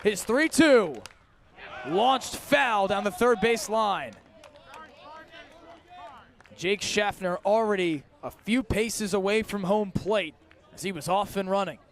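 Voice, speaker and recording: A high-voiced man works as a baseball play-by-play announcer. He delivers live radio commentary, following the game pitch by pitch. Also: lively intonation, slow, pauses often.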